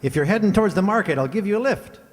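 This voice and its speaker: A man's voice: high voice, lively intonation, racing. A man playing a friendly, hearty cart driver who calls out in a folksy way in a radio play. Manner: hearty, calling out. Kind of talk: children's radio play acting. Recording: reverberant hall, slightly noisy.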